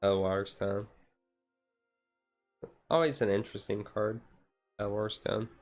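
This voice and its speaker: A man chatting casually on a relaxed livestream in a rambling, easygoing way. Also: fairly low pitch, slow, faint music behind. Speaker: streamer, tabletop game master. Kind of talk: casual chat on a relaxed ASMR-style livestream.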